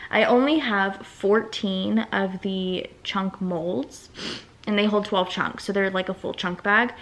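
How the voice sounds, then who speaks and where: A woman's voice: medium pitch, fairly even intonation, slow pace. Chatty woman vlogging at home. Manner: chatty, rambling, thinks out loud. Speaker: vlogger and small business owner. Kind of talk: casual vlog chat.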